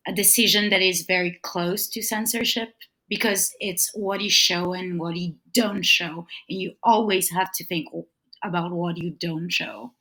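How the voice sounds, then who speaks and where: A woman guest talks through her thoughts on film programming. She stumbles and restarts often, repeating words as she searches for them, in a somewhat low voice. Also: steady tone, normal speed, sharp tone, poor audio. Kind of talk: casual panel discussion.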